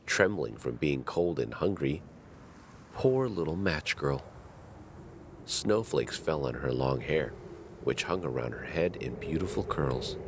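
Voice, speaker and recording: Male storybook narrator: deep voice, dramatic and highly expressive intonation, scripted fairy tale delivery. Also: unhurried, vocal fry, noisy background.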